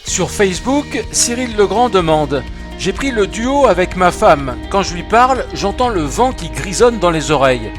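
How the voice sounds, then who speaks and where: A man's voice: high voice, sing-song, normal speed. A man reading out viewers' questions as a scripted voice-over for a web show. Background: music.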